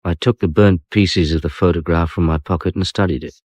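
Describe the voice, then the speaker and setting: A man's voice: low voice, fairly even intonation, brisk, darkish tone, no room sound. An actor playing a weary, dry detective inspector who narrates his case in a radio crime drama. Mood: grave narration.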